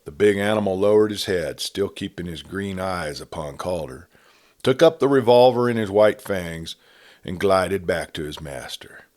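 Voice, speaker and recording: A man with a very deep voice narrates a Western story aloud. His delivery is measured and steady, like a storyteller by a campfire. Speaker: storyteller reading a novel aloud.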